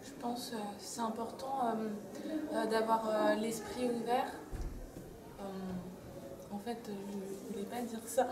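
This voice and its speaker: A high school girl in her late teens answering interview questions, halting with many pauses and fillers. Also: fairly even intonation, noisy background. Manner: halting, many ums, giggles at slips. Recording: echoey room with crowd chatter.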